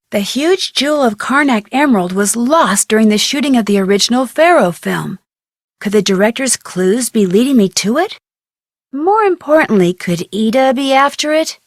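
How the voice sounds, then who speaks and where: A curious young amateur detective for an adventure game. Her high voice swings in pitch as she muses aloud to herself, recorded close and dry. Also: dry recording. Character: curious young woman sleuth working as production assistant. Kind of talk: scripted adventure game monologue.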